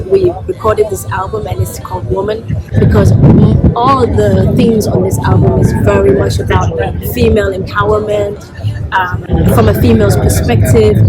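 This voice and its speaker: A woman from Copenhagen, Denmark, speaking as a singer in a casual, expressive festival interview. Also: mid-range voice, rough recording. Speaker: singer and rapper being interviewed.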